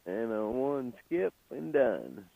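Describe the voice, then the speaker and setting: A man's voice: mid-range voice, big pitch swings, slow pace, creaky, dark tone, poor audio. A man chatting casually with some mild swearing over an intercom headset to a flying buddy. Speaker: paramotor pilot's buddy. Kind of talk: casual chat. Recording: headset intercom with music behind.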